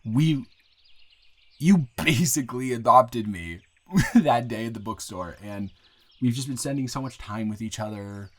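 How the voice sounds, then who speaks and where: A man playing an earnest, grateful young man shyly confessing love to a friend in an intimate, halting, improvised audio roleplay. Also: mid-range voice, close to an everyday voice. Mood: hopeful, nervous. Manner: halting, rambling, earnest, breaking into soft laughs.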